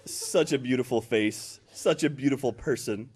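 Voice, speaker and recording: A man's voice: medium pitch, normal speed. A man on a livestream playfully reading lines of dialogue and bantering, a little off the microphone. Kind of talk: playful read-along with banter. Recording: noisy livestream room, slightly off-mic.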